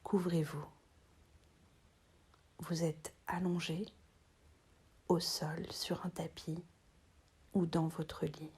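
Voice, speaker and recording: Very breathy, slow-speaking woman guiding relaxation. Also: sits low, level tone. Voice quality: soft, breathy, half-whispered. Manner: soothing, unhurried, long pauses between short phrases. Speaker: yoga teacher. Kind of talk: guided relaxation meditation.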